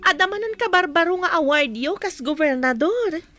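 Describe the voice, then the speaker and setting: A woman acting an excited, adoring young daughter in a radio drama, gushing and playful. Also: extremely high pitch, normal speed, soft background music, exaggerated voice.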